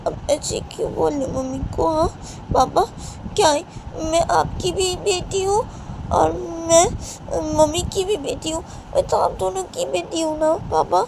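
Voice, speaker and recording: Brief: woman playing a pleading daughter, pitched high and slow, noisy audio. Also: vocal fry, exaggerated voice. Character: pleading young adult daughter. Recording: noisy recording.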